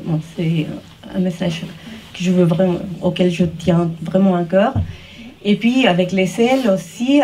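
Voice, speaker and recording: Woman artist, Mexican accent, soft-toned, earnest and halting public speech, recorded at a distance. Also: mid-range voice. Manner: halting, searching for words, earnest. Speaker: artist, paper sculptor. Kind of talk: informal speech at an exhibition opening. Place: exhibition opening, speaking to guests. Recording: distant microphone, noisy room ambience.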